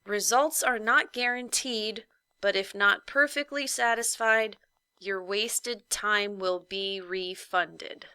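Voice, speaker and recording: A woman voicing a formal printed notice in a whimsical storybook, official and measured, drawing out key words. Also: medium pitch, pushed and bright, clear, resonant tone, dry recording. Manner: official, measured, drawn-out emphasis.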